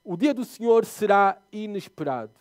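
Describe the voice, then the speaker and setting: A young adult man with a European Portuguese accent preaching a sermon, telling a personal story with sharp swings between loud emphasis and quiet asides. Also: sits high, normal speed, dry recording, studio quality. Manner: animated storyteller, voice rising and dropping sharply for emphasis. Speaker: pastor.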